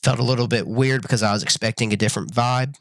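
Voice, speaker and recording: A young dad-to-be chats through a show review straight to camera, plain and earnest, stumbling through ums and restarts. His voice is a little raspy from a cold and sits at an ordinary middle pitch. The sound is dry and close, with no room around it. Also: fairly even intonation, fast talker, no room sound. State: slightly sick, raspy voice. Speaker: YouTube reviewer.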